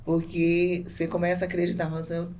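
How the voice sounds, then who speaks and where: A Brazilian woman speaking casually as a podcast guest and business owner, a little halting and repeating words as she explains. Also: on the low side, monotone, darkish tone. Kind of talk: casual conversational interview.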